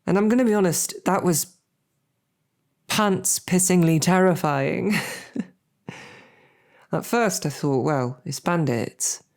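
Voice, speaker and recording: Woman acting a low-voiced knight, smitten and halting. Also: a little mellow. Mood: wry, animated. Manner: halting, self-deprecating, colloquial tag questions. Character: smitten lowborn female royal guard, snarky, awkward, earnest knight. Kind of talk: scripted audio roleplay, halting heartfelt banquet speech.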